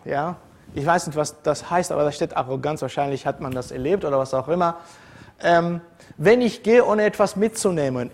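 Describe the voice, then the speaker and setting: A man from the former Soviet Union gives a relaxed university lecture in a slightly raised voice. He talks to his students in a conversational way, drifting between points with frequent fillers and self-corrections. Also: on the high side.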